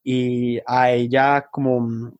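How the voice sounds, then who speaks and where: A man's voice: medium pitch, little pitch movement, very slow, no echo, dull audio. A man from England with an English accent, a non-native speaker in a casual interview, speaking hesitantly and searching for words. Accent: English accent in Spanish. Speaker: podcast guest, online tutor and startup founder.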